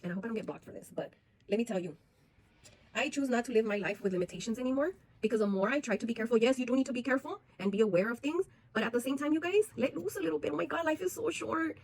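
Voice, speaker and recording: A woman's voice: a little high, rapid-fire, a little mellow, low-quality recording. A woman, talking frankly and bluntly to her viewers in a personal vlog, swearing freely. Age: 40s. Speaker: vlogger.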